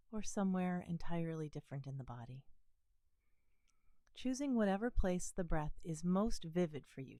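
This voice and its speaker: Female meditation guide, slightly low and mellow, gentle and soothing, unhurried pauses between phrases. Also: dry recording. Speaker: meditation teacher. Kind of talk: guided body scan meditation.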